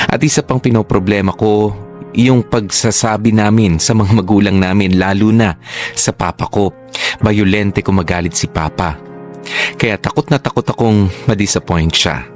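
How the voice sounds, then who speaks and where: Casting: male actor as sombre Filipino radio drama narrator, low register, reading heartfelt letters. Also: a little mellow, lightly acted. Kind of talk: scripted first-person radio drama narration.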